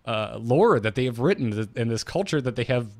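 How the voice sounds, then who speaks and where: A man's voice: mid-range voice, lively intonation, fairly quick, no echo. A man co-hosting a podcast, talking informally and with enthusiasm as he recaps and discusses game lore as a fan. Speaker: podcast co-host.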